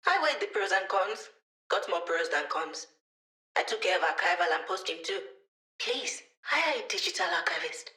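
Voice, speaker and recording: A woman's voice: fairly low pitch, big pitch swings, moderate pace, bright, forceful tone. An actress voicing a wry, cocky young woman hacker in a video game, speaking in quippy, casual lines. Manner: sardonic, quippy, casual slang. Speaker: game character, hacker support.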